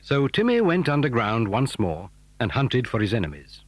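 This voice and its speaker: A man's voice: mid-range voice, big pitch swings, normal speed, dry recording. A man narrating a scripted children's adventure story.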